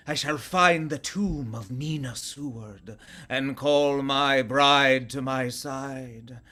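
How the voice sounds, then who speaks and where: An actor playing a menacing, grandiose old vampire count in a radio play. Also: male voice, medium pitch, sharp tone. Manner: grandiose, threatening.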